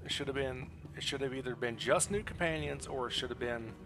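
A man's voice: medium pitch, fairly quick. Middle-aged male streamer, game music behind. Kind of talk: casual livestream commentary.

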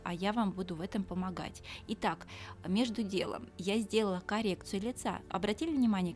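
Chatty woman teaching makeup, music behind. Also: mid-range voice. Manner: chatty, instructive, addresses fellow professionals. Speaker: makeup artist teaching a lesson. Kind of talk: voice-over makeup tutorial narration. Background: soft background music.

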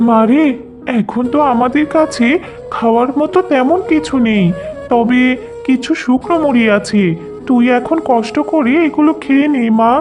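Woman playing a high-voiced, tender, anxious village mother. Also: moderate pace, music in the background, natural voice. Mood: tender, sad. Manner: soothing, plaintive, pious. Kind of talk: cartoon fairy-tale voice acting.

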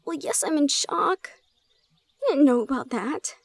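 Woman acting a high-voiced, flustered young gorgon woman. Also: expressive, no echo. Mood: shocked. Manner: flustered, halting, exclamatory. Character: shy young gorgon woman student, easily flustered and incredulous. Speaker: audio roleplay performer. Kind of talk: audio roleplay drama acting.

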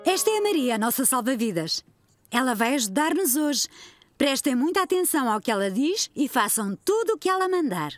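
Casting: kind female cartoon teacher, high lilting voice, European Portuguese accent, encouraging. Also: very animated, a little fast, soft background music. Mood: instructing. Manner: encouraging, clear instructions. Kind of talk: children's cartoon dub.

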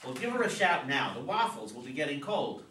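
An ordinary affectionate suburban husband, acted by a man in a play reading. His voice is fairly high and animated, with a teasing edge. It sounds roomy and off-mic. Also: brisk. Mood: teasing, stubborn. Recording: roomy, distant, some noise.

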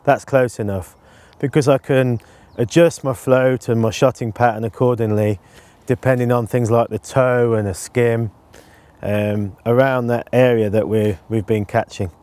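A man in his forties explaining his canal fishing setup in a relaxed, casual way, with frequent ums, recorded outdoors on a lapel mic. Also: medium pitch, a little slow. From England. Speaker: angling presenter. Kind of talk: casual instructional talk to camera.

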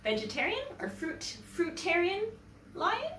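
Animated, unhurried woman storyteller. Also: very high voice. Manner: expressive, emphatic, deliberate. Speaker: teacher, storyteller. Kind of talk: animated children's read-aloud. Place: indoors, book-lined room. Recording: echoey room, distant mic, faint noise.

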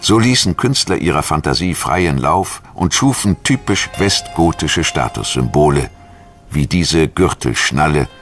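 A man reading a scripted history documentary narration in a measured, articulate storytelling manner. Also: extremely low pitch, expressive, a bit bright. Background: music.

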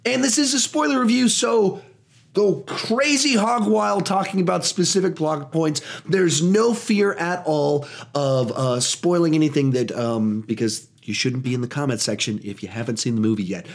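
A man in his thirties reviewing a film in a small home studio, animated and a little hoarse from a cold. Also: on the high side. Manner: animated, gestures a lot, talks in quick bursts. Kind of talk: energetic spoiler movie review.

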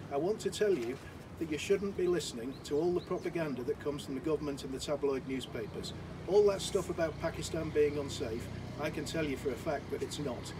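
Man, 60s, from the Isle of Man, enthusiastic, emphatic, outdoor balcony, camera mic, clear street noise. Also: fairly high pitch. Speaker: tourist giving a testimonial. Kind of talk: unscripted testimonial to camera.

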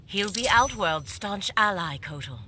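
A woman's voice: mid-range voice, expressive, unhurried, pushed and bright, slight background noise. A woman playing a confident young soldier in a video game, with a self-assured, informal manner. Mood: earnest. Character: confident young American female soldier and champion.

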